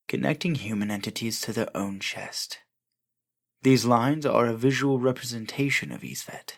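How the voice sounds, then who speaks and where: Male archivist, played by an actor, fairly low-pitched. Formal, detached, solemn reading of scripted observation records. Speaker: archive narrator. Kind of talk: scripted in-world documentary narration.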